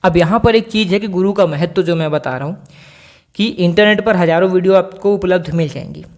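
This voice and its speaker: A man's voice: high voice, brisk. A man giving a spoken singing lesson, patient and explanatory, repeating key points and posing rhetorical questions to his students. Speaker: singing teacher.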